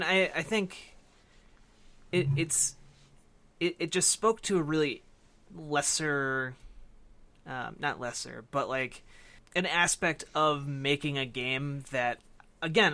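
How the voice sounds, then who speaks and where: Male podcast co-host, higher-than-average bright voice, conversational, hesitant phrasing. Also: a little slow. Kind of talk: casual discussion of a film and games.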